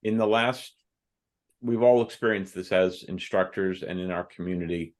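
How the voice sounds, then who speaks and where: A man on a podcast as a guest author and firearms instructor, telling stories from his classes in a thoughtful, measured way. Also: low-pitched.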